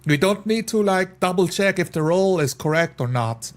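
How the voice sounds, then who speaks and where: A man's voice: high-pitched, lively intonation, normal speed. A man in casual discussion of tabletop game rules, giving opinions and reasoning through examples.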